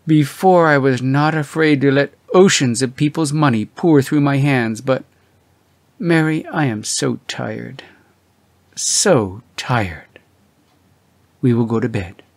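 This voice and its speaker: A man's voice: medium pitch, slow, no room sound. Man as older husband, audiobook character, anxious, weary, fretful, exclaiming, dry recording. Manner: agitated, exclaiming, muttering.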